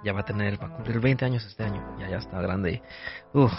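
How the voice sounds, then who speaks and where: A man's voice: lowish voice, brisk, highs cut off, soft background music. A young man from the United States talking casually as a radio guest, hesitant, with many restarts and fillers. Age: young adult. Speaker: guest, board operator.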